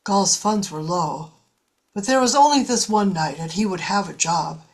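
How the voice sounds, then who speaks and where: A woman's voice: mid-range voice, lively intonation, normal speed. A woman narrating a science fiction story in a scripted, audiobook style.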